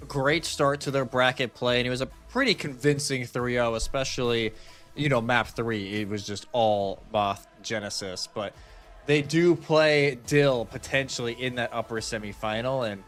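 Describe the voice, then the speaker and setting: A man's voice: mid-range voice, normal speed, a bit bright, soft background music. A man giving casual esports match analysis and interviewing on a broadcast desk.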